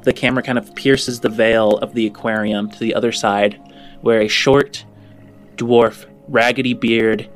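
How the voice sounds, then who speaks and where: A man runs a tabletop roleplaying show as host and game master. His mid-pitched voice moves through casual, descriptive narration pieced together in fragments, with faint music behind him. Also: steady tone, unhurried.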